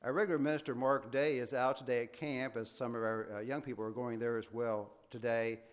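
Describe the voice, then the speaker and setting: An older man stands in for the regular minister and preaches a Sunday sermon in a church sanctuary. His voice is soft, and his delivery is earnest and measured, with occasional restarts. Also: medium pitch, steady tone, clear, resonant tone. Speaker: guest preacher.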